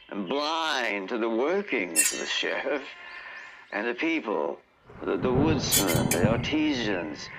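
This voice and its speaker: A man narrating a fantasy tale in radio-theatre style, grandiose and deliberate, with long pauses. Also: on the low side, gravelly. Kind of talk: dramatic scripted fantasy storytelling, old radio theatre. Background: music under the narration.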